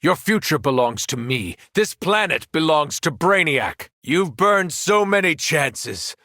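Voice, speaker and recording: An actor playing a powerful, cruel superhero turned villain in a video game, arrogant and taunting. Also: male voice, on the high side, sing-song, measured pace, sharp tone, dry recording, clean studio sound, heavily acted. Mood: cold threat. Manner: taunting, menacing, condescending.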